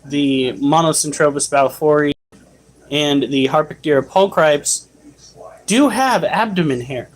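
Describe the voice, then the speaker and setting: A man hosting a casual livestream, chatting and explaining how to care for his pets while answering chat. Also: fairly high pitch. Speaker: livestream host and pet keeper.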